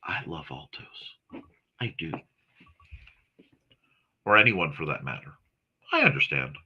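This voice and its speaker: A man hosts a laid-back livestream, chatting with viewers as though they were in the room. His very bright, sharp voice swings up and down lively as he rambles along and keeps correcting himself mid-sentence. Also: rumbling low, slow, breathy edge, dull audio. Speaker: host of a morning talk stream. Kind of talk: casual livestream chat, answering viewers.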